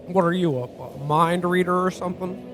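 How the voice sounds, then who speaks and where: A man's voice: high voice, moderate pace, natural voice. A man playing a flustered, pleading podcaster with a stammering, folksy manner in a comedic parody radio play. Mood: startled. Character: desperate, pleading podcaster in old holiday-film style. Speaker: podcast host. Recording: music behind the voice.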